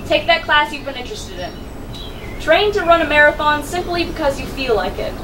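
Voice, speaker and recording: High-voiced teenage girl giving a speech. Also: very animated, fairly quick. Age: teens. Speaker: salutatorian giving graduation speech. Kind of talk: prepared speech at a podium. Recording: distant mic with clear background noise.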